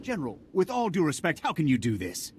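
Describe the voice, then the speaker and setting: An actor playing a loyal, indignant army officer in a video game, earnest and formal. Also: male voice, on the high side, huge pitch swings, fairly quick, very noisy. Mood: indignant pleading. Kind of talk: video game cutscene acting.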